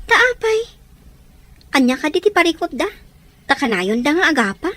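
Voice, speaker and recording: A woman's voice: high-pitched, very animated, normal speed, fairly bright tone, dry recording. A woman with an Ilocano accent playing an admiring, curious young daughter in a radio drama.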